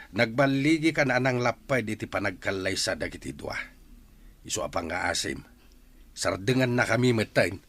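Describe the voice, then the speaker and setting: A man's voice: on the low side, animated, normal speed, a bit bright. An actor playing a stern, blunt middle-aged father in a radio drama, firm, emphatic and melodramatic. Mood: stern, rejecting. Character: stern, blunt father rejecting a woman's love.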